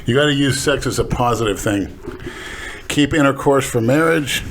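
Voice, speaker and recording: A man's voice: medium pitch, moderate pace, fairly bright tone, slight background noise, rough recording. A man co-hosting a podcast, opinionated and blunt with his advice. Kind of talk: casual podcast chat.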